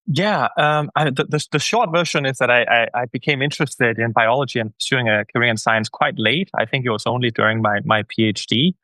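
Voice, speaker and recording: A man talks through his answers in a bright, forceful voice, often stopping to restart and repeat his words. The sound is close and dry, with no room around it. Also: mid-range voice, brisk, few pauses, dry recording. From Denmark. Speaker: guest scientist. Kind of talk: conversational interview answers.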